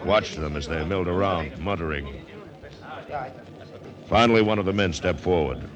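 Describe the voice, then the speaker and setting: A man's voice: low voice, normal speed, a crowd in the background, close to an everyday voice. A man acting an arrogant, defiant plantation owner in 1940s American radio drama style, pompous, emphatic and theatrical. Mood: proud, brooding. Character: arrogant, defiant male plantation owner, coolly confident of his intellect. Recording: old radio recording with music.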